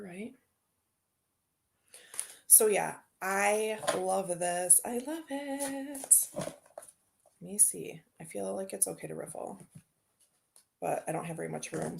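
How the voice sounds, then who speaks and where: Gravelly-voiced woman, casual chat. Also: fairly low pitch, background noise. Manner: rambling, chatty, reads and answers viewer comments. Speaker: tarot channel host. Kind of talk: casual unboxing chat to live viewers.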